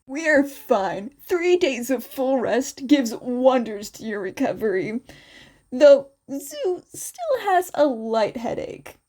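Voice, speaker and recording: A woman's voice: sits high, very animated, takes it slowly, a little mellow, exaggerated voice. A woman voicing an easygoing, matter-of-fact teenage boy who explains things casually, in a fan fiction story reading.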